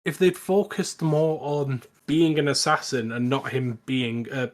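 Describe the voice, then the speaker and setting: Male podcast co-host, average pitch, informal and opinionated, conversational film-review delivery. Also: steady tone, measured pace. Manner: opinionated, sweary. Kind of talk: casual film discussion and recap.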